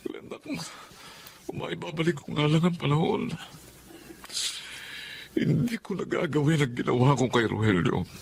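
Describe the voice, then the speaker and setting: A man's voice: a little high, lively intonation, normal speed, creaky, long pauses, darkish tone, a little hiss or hum. A man playing a hot-headed, remorseful fugitive husband, melodramatic, in a Filipino radio drama. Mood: sad, regretful. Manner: melodramatic, emotional.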